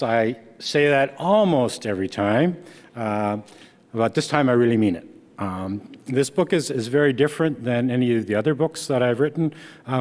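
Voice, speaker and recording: A man's voice: medium pitch, long reverb tail. A man in his sixties from Ontario, Canada, whose first language is Dutch, giving a conversational author talk with frequent restarts. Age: 60s. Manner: conversational, halting with repeated restarts. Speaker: author and speaker. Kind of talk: book reading talk.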